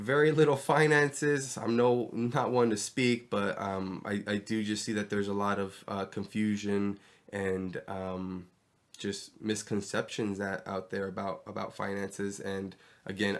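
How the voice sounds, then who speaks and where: A man hosting his first home podcast, talking candidly and rambling with plenty of ums, his voice stuffy and nasal from a cold, recorded in a bedroom on a cheap mic. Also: on the low side. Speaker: podcast host. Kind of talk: casual solo podcast monologue. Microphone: inexpensive mic into a laptop.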